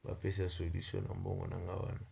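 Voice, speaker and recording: A Congolese-accented man voicing a stubborn, grumbling, argumentative rooster with a gravelly voice in a comedy cartoon. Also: low voice, completely flat delivery, fast talker, mellow, a little hiss or hum. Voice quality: gravelly, creaky.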